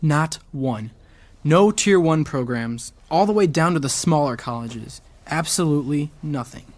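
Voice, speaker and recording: An actor playing a frustrated but determined teenage high school athlete in an audio drama, earnest and defensive. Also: male voice, expressive, unhurried. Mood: dejected.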